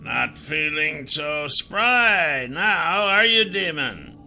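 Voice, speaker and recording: A man acting out gruff, menacing enforcers in a dramatized fantasy audiobook, with a gravelly voice and a sneering manner. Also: fairly high pitch, sing-song, drawn-out words, sharp tone, a little hiss or hum, full character voice. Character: gruff, bullying male enforcer and his jeering cronies.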